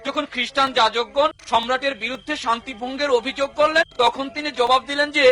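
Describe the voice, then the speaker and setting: A man's voice: extremely high pitch, steady tone, fast talker, pushed and bright. A man voicing an envoy of oppressed citizens in a dubbed cartoon, speaking earnestly and urgently. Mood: pleading. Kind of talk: cartoon dubbing.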